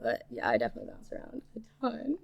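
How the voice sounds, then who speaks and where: A young woman, a songwriter guest in a podcast interview, speaking in an easygoing way with laughs and soft trailing endings. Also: rumbling low, huge pitch swings, fast talker, gravelly. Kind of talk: casual conversational interview.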